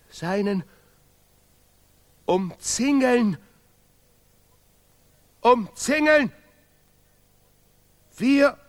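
Male pompous, excitable scholar for radio play; dragging delivery, high register, broken grammar. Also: heavily acted. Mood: zealous, frenzied. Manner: declamatory, chopped phrases in deliberately broken grammar. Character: second pompous male laureate scholar, zealous, sentimental, excitable. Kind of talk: absurdist 1970s German radio play.

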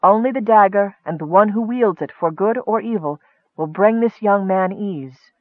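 A man voicing a serene, detached goddess, speaking softly and solemnly in a fantasy audiobook. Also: sits high, no echo, phone-quality sound, natural voice. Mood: solemn calm. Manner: soft, measured, solemn.

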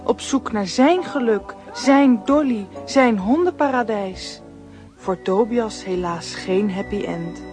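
A woman narrating a children's radio play in a gentle storytelling manner. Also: mid-range voice, slow, soft background music.

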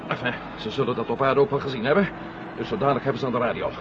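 An actor playing a cool-headed, sardonic astronaut in a 1970 science-fiction radio drama, speaking with dry sarcasm. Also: male voice, medium pitch, steady tone, racing, close to an everyday voice. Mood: matter-of-fact. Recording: muffled, noisy old radio drama recording.

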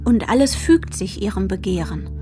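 A woman performing as a detached narrator of a young woman's story in a radio drama, measured and matter-of-fact. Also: medium pitch, expressive, music in the background, natural voice. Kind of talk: literary radio drama narration, third person.

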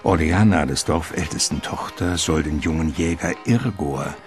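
A man narrating a literary radio drama in a measured, ornate storytelling manner. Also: very deep voice. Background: music.

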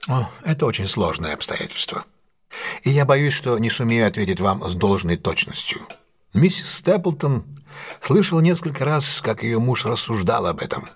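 An actor playing a shrewd detective in an audio drama, laying out a case in a measured, articulate way with deliberate pauses. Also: male voice, mid-range voice, sing-song, breathy edge. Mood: thoughtful, deliberate. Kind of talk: audio drama acting, expository monologue.

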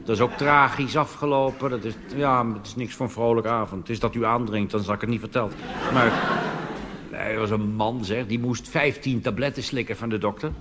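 A Dutch man doing a cabaret monologue on stage, delivering deadpan punchlines and jumping into animated put-on voices. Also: medium pitch, fairly quick. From Netherlands. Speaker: cabaret comedian. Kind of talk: stand-up monologue. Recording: reverberant hall with audience noise.

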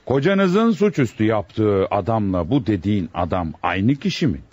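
Stern husky judge with swooping delivery. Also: male voice, fairly low pitch, big pitch swings, moderate pace, a little mellow, no room sound. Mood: stern, impatient. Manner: authoritative, interrogating. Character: stern, impatient male presiding judge. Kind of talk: radio drama acting. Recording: dull, roomless lo-fi radio recording.

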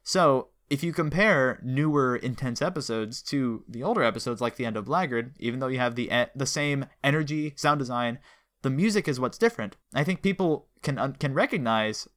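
Bright-voiced man hosting a casual podcast. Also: medium pitch, animated, a little fast. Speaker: podcast co-host. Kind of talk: casual analytical discussion.

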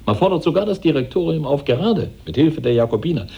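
A man acting in a German radio play as an embittered, suspicious head of a revolutionary government, formal, aggrieved and dramatic, with a husky, dark voice. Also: on the high side, lively intonation, fast talker, dull audio, full character voice.

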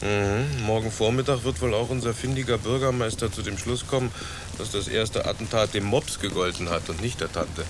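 Radio drama casting: male actor for coolly scheming man, formal and deadpan, fairly deep, noisy background. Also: fairly quick, natural voice. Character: smooth-talking, scheming man, mock-solemn and coolly calculating. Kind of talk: German radio drama acting.

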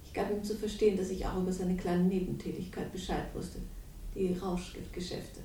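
A woman's voice: level tone, a little fast, warm tone, a little hiss or hum, close to an everyday voice. An actress playing a cold, manipulative woman in a radio crime drama, speaking with smug irony and cool amusement. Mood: smug relish. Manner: smug, ironic, coolly amused. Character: cold, manipulative, mocking woman confessing her schemes. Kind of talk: 1960s German radio crime drama acting. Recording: roomy, distant, faintly rumbling radio recording.

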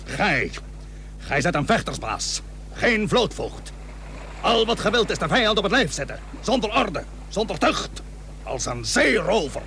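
A man's voice: high-pitched, sing-song, unhurried, gravelly, bright, forceful tone, heavily acted. An actor playing a stern, proud admiral and cautious seaman in a historical radio play, speaking formally and emphatically. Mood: angry, commanding. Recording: old radio recording with faint hum.

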